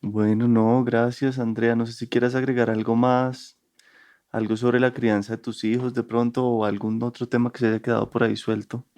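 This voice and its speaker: A man's voice: mid-range voice, level tone, moderate pace, darkish tone. A man hosting a podcast interview, asking open questions politely and thanking his guest. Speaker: podcast host.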